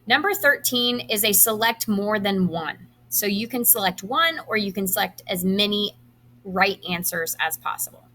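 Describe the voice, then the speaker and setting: Bright-voiced woman teacher reading aloud. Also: mid-range voice, animated, slight background noise. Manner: instructional, enunciates quiz items deliberately. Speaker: high school science teacher. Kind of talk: reading quiz questions aloud to students, with brief instructions.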